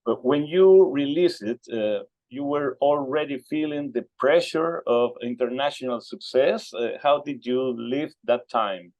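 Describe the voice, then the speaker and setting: A male radio interviewer with a mid-pitched voice and a Peruvian Spanish accent. He is a native Spanish speaker who talks conversationally and hesitantly, searching for his words. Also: unhurried, few pauses, darkish tone, highs cut off. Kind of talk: conversational interview in a second language.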